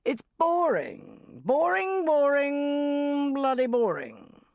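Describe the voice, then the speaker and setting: Hyperactive male TV boss, loud and ranting, all over the place, played by a woman reader. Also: sits high, very animated, slow pace, no room sound, voice pushed up, full character voice.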